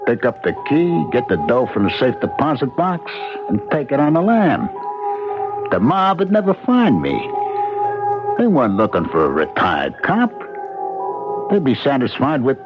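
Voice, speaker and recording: Tough New mobster for vintage radio: bewildered, scheming, very animated delivery, music underneath. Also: male voice, medium pitch, normal speed. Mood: gleeful, scheming. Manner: streetwise, slangy, excitable. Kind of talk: 1970s radio drama acting with first-person narration. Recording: dull 1970s radio broadcast recording.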